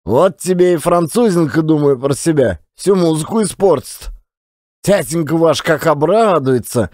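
A man's voice: a little high, animated, moderate pace, darkish tone, no room sound, clean studio sound, lightly acted. An actor playing a garrulous, self-deprecating, folksy old-time Russian storyteller in a one-man audio play. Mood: animated recounting. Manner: colloquial, rambling, folksy.

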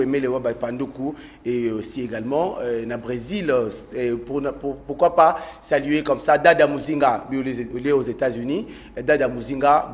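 A man hosting a television talk show, sending greetings and asking guests questions with many fillers and restarts. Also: medium pitch.

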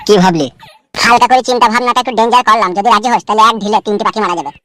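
A man's voice: sits high, very fast, sharp tone, dry recording. A man voicing a scheming, coarse-talking, nervous village crook in a comedy cartoon. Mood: conspiratorial. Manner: coarse slangy back-and-forth.

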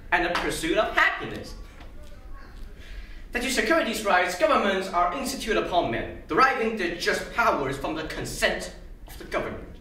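A man acting an earnest, idealistic senator in a theatrical monologue, speaking emphatically with halting, drawn-out phrases. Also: high-pitched, pushed and bright, exaggerated voice. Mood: solemn, declaiming. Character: earnest, impassioned idealistic male senator making a speech. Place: large echoey room, mic at a distance. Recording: echoey room, distant mic, faint noise.

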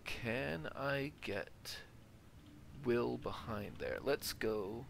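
A man's voice: medium pitch, extremely slow, gravelly, noisy background. A man giving casual gameplay commentary, thinking aloud as he plays through a video game. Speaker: gamer narrating own playthrough. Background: game ambience.

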